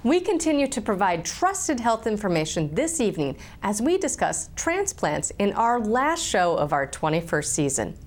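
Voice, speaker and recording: A woman hosting a medical interview show, asking questions in a friendly, steady way. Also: mid-range voice, normal speed, few pauses. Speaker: TV health show host.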